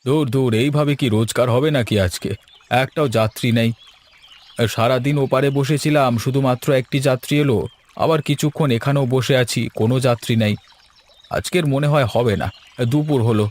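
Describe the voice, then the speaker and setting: A man's voice: medium pitch, brisk, a little mellow, close to an everyday voice. A man voicing a plain-spoken young village boatman in a cartoon, matter-of-fact, with music behind. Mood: discouraged. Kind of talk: cartoon character dialogue.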